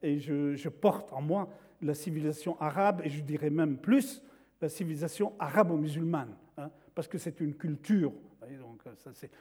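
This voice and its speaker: Measured man, mellow voice. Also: on the high side, husky. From northeastern Syria. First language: Syriac (Aramaic). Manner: measured, explanatory, repeats words while searching. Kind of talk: lecture-like talk.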